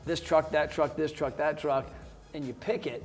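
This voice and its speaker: A man's voice: on the high side, steady tone, moderate pace. A man hosting a casual interview, introducing his guest with enthusiasm. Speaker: host.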